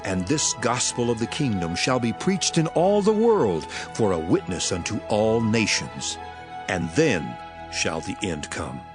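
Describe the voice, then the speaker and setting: A grave prophet and teacher in a scripture drama. His low voice moves widely as he declaims with solemn, measured authority, with music playing clearly behind him. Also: big pitch swings. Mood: grave, warning. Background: background music.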